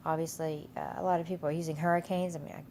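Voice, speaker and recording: Woman instructor, stuffy with a cold, gravelly and flat, rambling and full of fillers. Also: low voice, racing, a little hiss or hum. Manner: rambling with many fillers and restarts. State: has a cold, stuffy nose. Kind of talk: casual screen-share course walkthrough.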